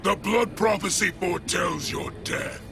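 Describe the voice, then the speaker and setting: An actor playing a raging, vengeful alien warlord in a video game, snarling with menace. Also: male voice, sits high, unhurried, creaky, music in the background. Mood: vengeful rage.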